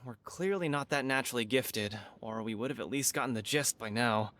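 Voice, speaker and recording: An actor playing an earnest young martial arts disciple, a matter-of-fact striver in a video game. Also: male voice, mid-range voice, normal speed, fairly bright tone, close to an everyday voice. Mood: discouraged. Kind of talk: video game dialogue acting. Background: game music.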